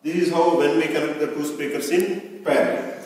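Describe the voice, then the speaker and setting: A man's voice: a little high, fairly even intonation, moderate pace, a little mellow. Echoey, distant young man teaching. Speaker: lab instructor. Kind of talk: instructional demonstration. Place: large indoor lab room with hard surfaces. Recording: distant mic in very echoey hall.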